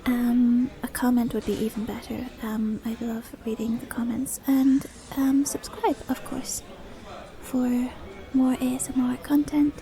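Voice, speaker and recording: Mellow woman, often whispering. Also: on the high side, flat delivery, a little slow. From Dublin, Ireland. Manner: rambling, self-deprecating. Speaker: ASMR video creator. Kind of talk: ASMR, rambly casual chat.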